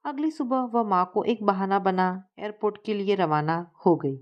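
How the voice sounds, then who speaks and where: A woman's voice: medium pitch, normal speed, lo-fi sound. A woman reading a scripted story as a storyteller, narrating in prose.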